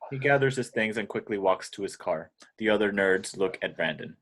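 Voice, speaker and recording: A man with a fairly deep voice serves as narrator. He reads out stage directions and action lines from a film script. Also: expressive, brisk, a bit bright.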